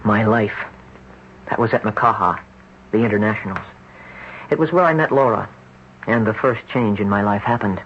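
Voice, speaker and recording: An actor playing a condemned young ex-surfer in a radio drama, earnest and intense, sometimes unsure of himself. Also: male voice, lowish voice, animated, moderate pace, long pauses, slight background noise. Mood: insistent, confiding. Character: condemned young ex-surfer who sold his soul. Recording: dull, lo-fi 1970s radio recording.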